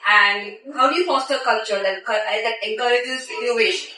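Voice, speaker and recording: A woman's voice: on the high side, normal speed, bright, forceful tone, a little hiss or hum. A woman interviewer asking questions in a conversational corporate interview style. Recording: echoey, distant mic, busy crowd chatter.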